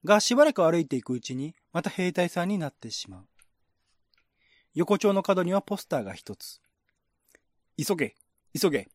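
Male narrator for a literary radio drama: fairly high voice, measured descriptive delivery, dry recording. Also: big pitch swings, no echo. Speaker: narrator, reader.

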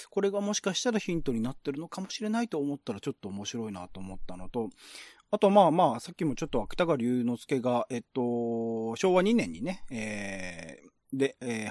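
A man's voice: mid-range voice, darkish tone, no echo, studio quality. A man hosting a podcast, explaining art and literature in an enthusiastic, informal, conversational way. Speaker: podcast host. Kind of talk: casual discussion of literature and art.

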